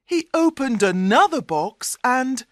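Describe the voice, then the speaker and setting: A woman tells a fairy tale for learners in scripted narration. She goes very slowly in careful phrases, with lively intonation, recorded close and dry. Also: high voice, dry recording. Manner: deliberate, carefully separated phrases. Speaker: storyteller.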